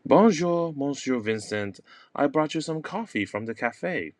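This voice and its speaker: Man putting on gentle café girl Rachel. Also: medium pitch, expressive, measured pace, no room sound, pitched above the performer's own voice, natural voice. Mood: cheerful greeting. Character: Rachel, gentle young woman kindly turning down a suitor.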